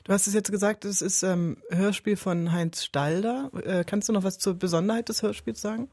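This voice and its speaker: A woman's voice: medium pitch, fairly even intonation, moderate pace, a little mellow, no echo. A woman co-hosting a podcast, chatting casually and putting questions to a partner. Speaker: podcast co-host. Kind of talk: casual conversational introduction and questions.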